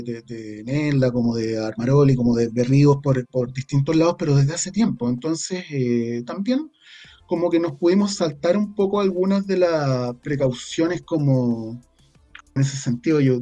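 A Chilean man speaking casually as an interview guest, halting and repeating words as he searches for his phrasing. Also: mid-range voice, dark tone, muffled recording, soft background music. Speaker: interview guest, audio drama writer.

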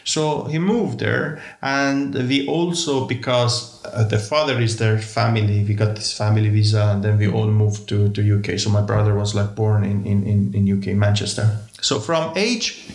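A man's voice: lowish voice, moderate pace. A man from Iran, a guest telling his life story in a rambling, wry way. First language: Persian. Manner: rambling, wry, jokes about his past. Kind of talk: storytelling in conversation. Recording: echoey room.

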